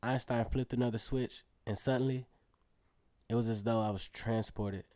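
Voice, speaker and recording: A man's voice: medium pitch, steady tone, normal speed, dark tone, no room sound, lightly acted. An actor playing an eager young student who narrates his own story earnestly in a radio drama. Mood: awed. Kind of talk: scripted first-person radio drama narration.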